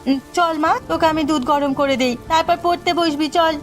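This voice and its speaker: A woman voicing a brisk, motherly, gently bossy village woman in a cartoon story. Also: very high-pitched, fairly even intonation, faint music behind. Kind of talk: cartoon voice acting.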